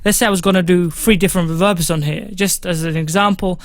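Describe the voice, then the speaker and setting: A young man with a fairly high voice, giving a casual, instructive music-production tutorial from a small home studio, with many fillers. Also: steady tone, quick pace, dry recording. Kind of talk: screen-recorded software tutorial.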